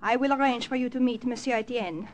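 A woman's voice: a little high, fast, loud music behind the voice, lightly acted. A woman acting a devoted young French Resistance member in an old radio drama, earnest and formal, with a French accent. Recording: noisy old radio recording.

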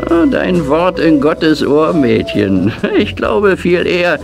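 An actor playing a gruff, skeptical old stableman, dry and good-naturedly grumbling, in a children's radio play. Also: on the high side, sing-song, takes it slowly, music in the background, heavily acted. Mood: grumbling kindly.

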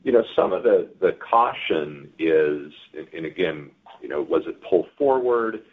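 A man answering questions as a company executive on an earnings call, speaking in a halting, deliberative way. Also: sits low, lively intonation. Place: conference call. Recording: muffled conference phone line.

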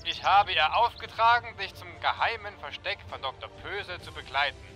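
A man's voice: high-pitched, animated, moderate pace, pushed and bright, faint music behind. A man playing a solemn, urgent president giving dramatic orders in a comic audio drama. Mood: urgent, commanding.

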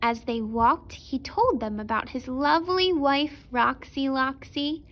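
A woman's voice: high-pitched, a little slow, a bit bright. A woman storyteller narrating a children's picture book expressively for preschoolers.

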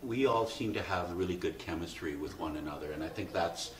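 Deep-voiced man in an echoey room. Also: a little fast, crowd noise. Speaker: stage cast member. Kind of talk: casual group interview.

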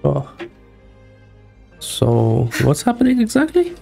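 Male streamer, very mellow, creaky, low-key, casual reading and reacting, faint music. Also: huge pitch swings, slow pace. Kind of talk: casual game reaction, reading on-screen text aloud. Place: small indoor room. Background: game music.

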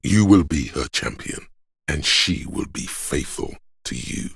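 A man voicing a grim, stoic inner voice of endurance for a game, in a solemn, portentous manner with a husky, gravelly quality. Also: a bass voice, dry recording, clean studio sound, heavily acted. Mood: solemn, resolute. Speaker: voice actor. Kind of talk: dramatic game narration, second person.

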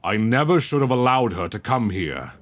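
A man playing a guilt-stricken, tender young prince in a romantic audio roleplay, halting, earnest and given to sighs. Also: mid-range voice, sing-song, close to an everyday voice. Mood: anguished, self-blaming.

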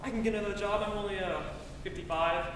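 High-voiced, blustery, muddled uncle for a stage radio play, man performing, distant in a reverberant hall. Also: steady tone, fast talker, heavy background noise, lightly acted. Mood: defiant, flustered. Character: jolly, blustery, muddled uncle in his fifties. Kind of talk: staged old-time radio play acting. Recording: echoey hall from far off, music.

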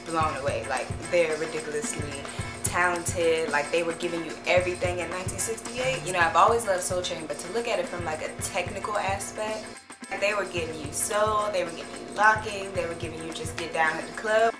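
A young American woman talks casually to camera, expressive and full of slang. Her voice sits on the low side. It was recorded in an echoey room, with loud music playing behind her. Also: a little fast. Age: young adult. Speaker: choreographer. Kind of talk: casual vlog talk to camera. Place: small room indoors.

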